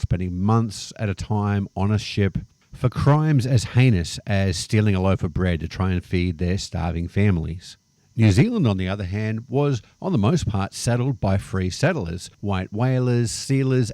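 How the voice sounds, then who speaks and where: A man's voice: on the low side, warm tone, no room sound. An Australian man hosting a vlog, explaining things in a casual narrated style with the odd anecdote. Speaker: vlog host.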